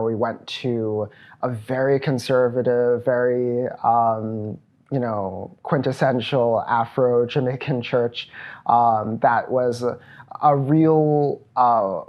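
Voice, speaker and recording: A man's voice: medium pitch, dull audio. A man in his thirties from Trinidad and Tobago, a programs director giving a reflective, earnest personal testimony at a virtual event. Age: 30s.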